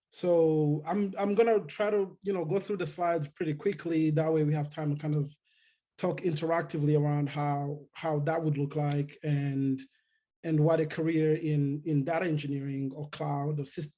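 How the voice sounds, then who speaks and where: A man from Rwanda giving a relaxed talk on a video call, with many fillers and restarts. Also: on the high side, normal speed. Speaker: guest speaker. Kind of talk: casual technical talk.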